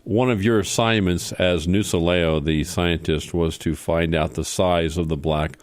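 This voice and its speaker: A man's voice: low-pitched, fairly even intonation, unhurried, no echo. A man hosting a playful comedy radio show from a studio, interviewing a guest in a mock-serious way with leading questions.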